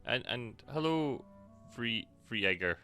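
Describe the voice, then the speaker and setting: A man giving casual live-stream game commentary, halting and stammering as he playfully banters with chat. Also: medium pitch, animated, pushed and bright, faint music behind.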